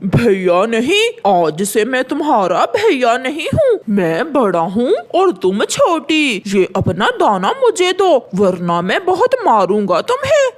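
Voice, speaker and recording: Boastful bully crow for a kids' cartoon played by a woman: high voice, slow swaggering delivery, full of threats. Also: huge pitch swings, exaggerated voice. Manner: pompous, threatening. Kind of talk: Hindi children's cartoon voice acting.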